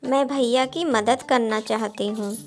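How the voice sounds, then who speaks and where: A woman's voice: a little high, steady tone, unhurried, a little mellow, natural voice. A woman playing a caring, earnest young married sister in a cartoon moral story. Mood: concerned. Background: background music.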